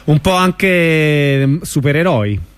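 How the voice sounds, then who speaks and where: A man's voice: on the high side, animated, slow pace, clear, resonant tone. A man hosting a radio interview, asking questions in a casual, conversational style.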